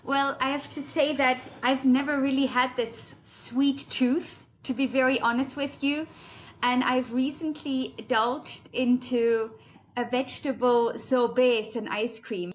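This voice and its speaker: A woman's voice: sits high, steady tone, unhurried. A woman from Germany, a negotiation expert, answering interview questions politely and articulately. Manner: articulate, polite, some restarts.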